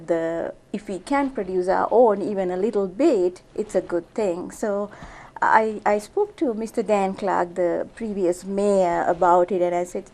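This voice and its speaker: A woman's voice: on the low side, warm tone. A middle-aged woman from Sri Lanka, a physician, talking through an interview in a casual way with many fillers and restarts. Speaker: physician guest.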